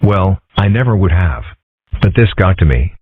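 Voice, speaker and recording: A man voicing a calm, philosophical young male fox in a comic dub, husky, measured and deadpan. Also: sits low, no echo. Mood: earnest. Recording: muffled narrow-band lo-fi audio.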